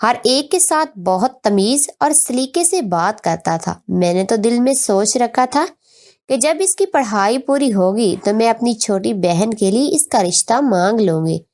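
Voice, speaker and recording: A woman's voice: on the high side, lively intonation, a little slow, a little mellow. A woman narrating a scripted, emotional family story in the first person, as a storyteller.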